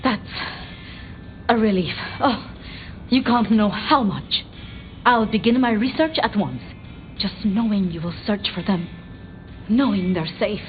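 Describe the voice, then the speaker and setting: A woman playing a timid, bookish vampire researcher in a video game, hesitant and apologetic, stammering over halting phrases. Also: mid-range voice, phone-quality sound, a little hiss or hum, close to an everyday voice. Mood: relieved. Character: timid, flustered, bookish female vampire researcher, devoted to her retainers. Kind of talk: video game dramatic dialogue.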